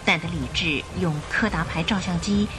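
A woman narrating a radio drama in an expressive storytelling style. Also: on the low side, normal speed. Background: music.

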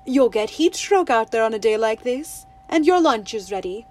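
A woman's voice: fairly high pitch, lively intonation, moderate pace. Woman voicing a firm, no-nonsense mother. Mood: stern.

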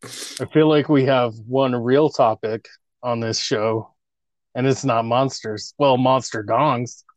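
Slow-talking joking man in his thirties. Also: mid-range voice, steady tone, no echo. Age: 30s. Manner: joking, crude teasing, laughs often. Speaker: podcast co-host. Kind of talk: casual banter podcast.

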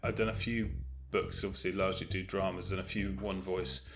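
A man's voice: deep voice, flat delivery, brisk, gravelly, over music. A man chatting casually as a podcast guest about his work, informal and light-hearted. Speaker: podcast guest, audio drama producer. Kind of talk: casual interview chat.

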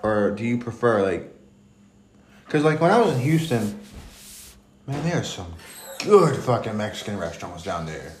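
A man's voice: on the low side, big pitch swings, a little mellow. A man co-hosting a podcast, telling stories in casual, crude chat and laughing often. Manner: laughs often, swears, drawn-out storytelling. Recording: echoey room with faint noise.

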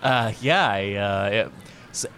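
A man performing in an improvised comedy scene, playful and quick to laugh, sometimes dropping into a low, somber tone. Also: pushed and bright, slight background noise.

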